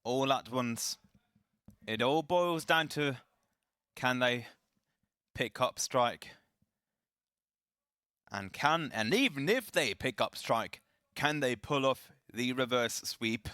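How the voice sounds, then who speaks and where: A man casting a live esports match, chatty and self-deprecating, his voice slightly raspy. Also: medium pitch, animated, slow pace, long pauses, pushed and bright, no room sound, studio quality. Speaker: esports caster.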